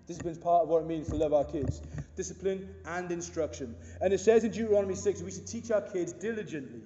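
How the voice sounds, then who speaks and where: A man in his thirties preaching with a big, booming voice that sits high yet sounds warm and mellow. He drives his points home earnestly, asking the room question after question. The sound is dull and muffled, with a long reverb trailing off the walls of a church hall. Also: slight background noise. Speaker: pastor. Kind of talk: sermon. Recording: dull echoey hall recording.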